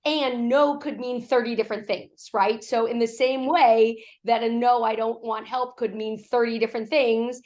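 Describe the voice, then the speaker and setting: Raspy-voiced woman coach, fairly high. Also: normal speed, hardly any pauses. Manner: animated storytelling, acts out quoted lines. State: raspy voice, has a cough. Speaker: executive coach, guest. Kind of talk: conversational interview answers.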